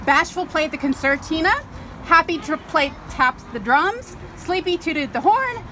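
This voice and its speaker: A woman's voice: very high voice, expressive, unhurried, pushed and bright, a little hiss or hum. A woman reading a storybook aloud to a group on an outdoor patio.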